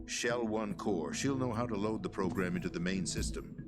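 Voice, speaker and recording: A loud score sits behind a lowish, solemn statesman acted by a man. He is middle-aged, authoritative and weary, with an urgent edge suited to a dramatic game cutscene. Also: loud music behind the voice, lightly acted. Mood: solemn urgency. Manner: solemn, measured exposition.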